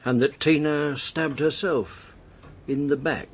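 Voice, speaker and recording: Sardonic, probing police superintendent. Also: male voice, a little high, steady tone, slow pace, a little hiss or hum. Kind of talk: radio drama acting.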